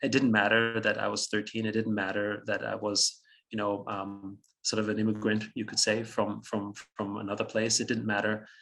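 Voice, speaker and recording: A man's voice: on the low side, monotone, moderate pace, creaky, a bit bright, poor audio. A German-speaking man in his fifties from Austria, a guest on an interview, telling his story reflectively, chuckling often and restarting phrases.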